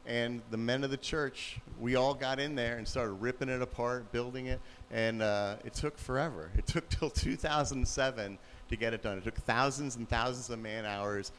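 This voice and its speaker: A man with a very low voice, a youth pastor, telling his story in a relaxed, plain-spoken way in a church. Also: moderate pace, hardly any pauses, slight background noise, rough recording. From Pennsylvania, USA.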